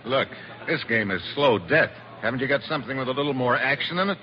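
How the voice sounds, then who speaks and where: An actor playing a cool, wry American secret agent in a casual, clipped 1940s radio style. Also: male voice, medium pitch, lively intonation, fast, crowd noise, lightly acted. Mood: cool, probing. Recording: muffled vintage radio recording with music behind.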